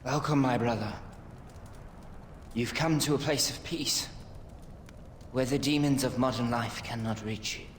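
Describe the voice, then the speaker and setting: An actor playing a jittery, nerdy stoner posing as a mystic in a video game, excitable and mock-mystical. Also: male voice, medium pitch, unhurried, long pauses, echoey room, big-room sound, slight background noise, lightly acted. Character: jittery stoner man, fake mystic sidekick.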